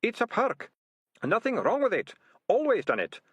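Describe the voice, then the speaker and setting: Whining, panicky rat-catcher. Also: male voice, wildly swooping pitch, moderate pace, fairly bright tone, husky, no room sound, heavily acted. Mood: whining, defensive. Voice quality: high-pitched moaning voice. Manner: stammering, colloquial, self-justifying.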